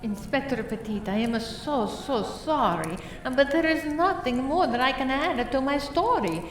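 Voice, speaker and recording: In an echoey room, at a distance, an actress plays a demure, pious lady suspect in a radio mystery. Her voice is very high, her speech polite and halting. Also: expressive, slight background noise. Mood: apologetic, demure. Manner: halting, repeats words, polite. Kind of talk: radio play acting.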